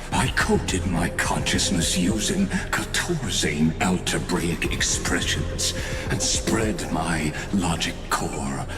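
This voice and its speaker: An actor playing a coldly fanatical ancient genius villain in a video game, delivering solemn, pompous, deliberate monologues. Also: male voice, low-pitched, full character voice. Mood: brooding, solemn. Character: grandiose ancient male genius villain, a machine-bound mind, coldly fanatical. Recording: echoing voice over prominent music.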